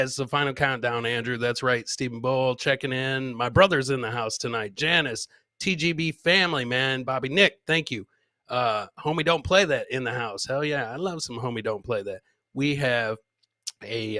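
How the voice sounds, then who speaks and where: A man's voice: medium pitch, sharp tone, no room sound. A man hosting a live comedy podcast, chatting informally with viewers and swearing casually. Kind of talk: casual live show chat.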